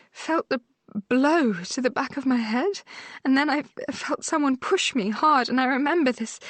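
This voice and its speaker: A woman reading an audiobook voices an injured, grieving young woman with a raspy, sore, shaky voice that cracks and slurs a little. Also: sits high, no room sound, voice pushed up. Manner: shaky, cracking, slightly slurred.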